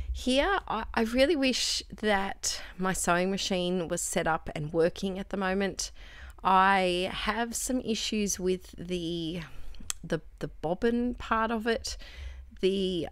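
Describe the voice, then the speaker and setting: Chatty crafting woman, lowish dry voice. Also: fairly bright tone, clean tone, no room sound. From Australia. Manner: rambling, self-correcting, chatty. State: croaky voice. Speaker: crafting vlogger. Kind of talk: casual crafting chat.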